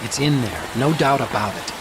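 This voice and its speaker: A man's voice: mid-range voice, expressive, normal speed, lightly acted. Man playing a brainy American hacker, heavy background noise. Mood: reassuring. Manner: earnest, explanatory, confident. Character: brainy, self-assured male tech-support partner and hacker. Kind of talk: video game voice acting.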